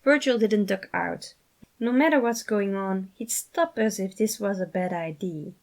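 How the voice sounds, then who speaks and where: A woman narrates fiction in a scripted storytelling style. Her voice sits at a medium pitch, and she reads as the narrator of a story. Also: a little slow, gravelly, a little mellow. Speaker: writer and narrator.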